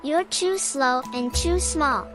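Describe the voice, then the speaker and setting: A woman's voice: sits high, slow, a bit bright, faint music behind. A woman voicing a mischievous, teasing male squirrel in a storybook, with a chattering, taunting manner.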